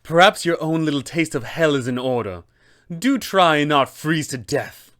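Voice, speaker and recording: A man voicing a cold, scheming female wizard villain for a tabletop game, disdainful and measured. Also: on the high side, expressive, a bit bright. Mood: menacing. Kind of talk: tabletop game character acting.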